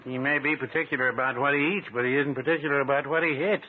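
An actor playing a dry, fussy older druggist in a 1950s radio comedy, hemming and deadpan. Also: male voice, medium pitch, fast talker, lightly acted. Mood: grudging, deadpan. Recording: very noisy, tinny 1950s radio recording.

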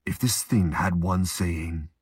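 Very deep, husky, slow male narrator. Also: dry recording. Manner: slow, ominous, dramatic pauses. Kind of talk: dark, dramatic scripted audio drama narration.

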